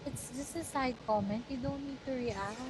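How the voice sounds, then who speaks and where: A woman from the Philippines, a native Tagalog speaker with a Batangas Tagalog accent, chatting at length over a voice call and explaining things in a relaxed way. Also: sits high, normal speed, background noise. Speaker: girlfriend on a call. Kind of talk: casual chat.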